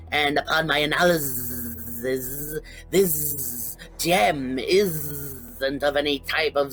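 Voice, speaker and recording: A narrator voicing a servile male mutant fly scientist with a buzzing, halting, deferential delivery. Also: mid-range voice, a bit bright, low-quality recording.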